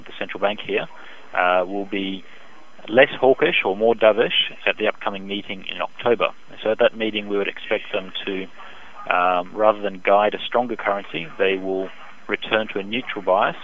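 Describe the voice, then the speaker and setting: A man speaking as an expert economist in a phone interview, giving measured, hesitant, technical market analysis. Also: deep voice, slight background noise. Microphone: telephone. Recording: dull band-limited phone line.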